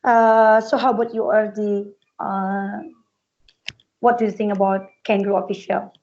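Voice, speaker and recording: A woman's voice: medium pitch, level tone, normal speed, a little mellow. A woman hosting an online English conversation group, encouraging the guests to relax, speaking simple, non-native English. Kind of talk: casual chat. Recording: muffled online call.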